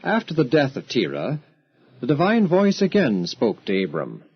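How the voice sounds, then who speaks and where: A man acting as a solemn scripture storyteller, giving measured, formal scripted narration in a biblical audio drama. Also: mid-range voice, huge pitch swings, creaky, husky, heavily acted. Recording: muffled radio drama, music underneath.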